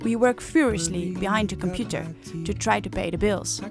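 A young woman narrating her sailing vlog in a calm, plain-spoken way. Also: mid-range voice, moderate pace, music in the background. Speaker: sailing vlogger. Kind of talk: voice-over narration.

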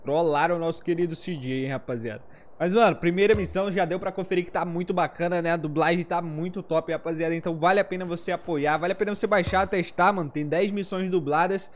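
A man's voice: high-pitched, fairly even intonation, quick pace. A Brazilian man in his twenties hosting a gaming video, commenting casually in slang and addressing viewers like buddies. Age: 20s. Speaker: gaming channel host.

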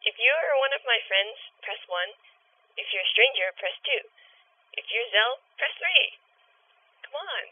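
A woman's voice: fairly high pitch, animated, measured pace, long pauses, husky. A woman playing a lovesick, needy girl with a crush, overeager on a parody answering-machine greeting. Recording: tinny phone-like audio.

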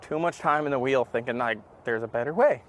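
A man's voice: mid-range voice, fairly quick, heavy background noise. A man in his thirties, a trucker, answering interview questions in an easygoing way outdoors. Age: 30s. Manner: easygoing, laughs a little. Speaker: trucker and inventor.

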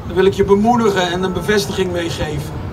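A man with a high voice interprets a sermon phrase by phrase, heard faintly through an outdoor loudspeaker from a distance. Clear music sits behind the speech. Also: steady tone, fast. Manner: restating each line, some restarts. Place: outdoor square by a stage.

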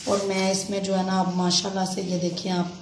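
A woman's voice: medium pitch, completely flat delivery, brisk. A woman hosting a home cooking demonstration, chatty and full of fillers, recorded on a phone over the stove in a home kitchen. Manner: chatty, repeats fillers often. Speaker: cooking channel host. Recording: echoey kitchen with background noise.

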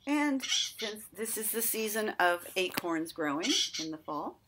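A middle-aged woman narrates an educational piece for young children. She has a medium-pitched voice and explains things in simple, clear wording. Now and then she puts a question to her young listeners. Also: expressive, unhurried, background noise. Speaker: nature educator and presenter.

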